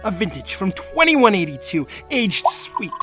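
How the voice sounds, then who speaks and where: A lilting, formal server. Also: female voice, medium pitch, very animated, a little slow, fairly bright tone. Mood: courteous. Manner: courteous, formal. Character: polite, formal restaurant server. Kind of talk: audio drama acting. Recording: music clearly playing behind the voice.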